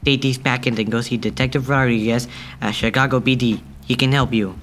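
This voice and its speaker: A man's voice: medium pitch, steady tone, a little fast, a bit bright, a little hiss or hum, natural voice. A man acting as a terse, mysterious informant in an amateur radio drama. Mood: secretive.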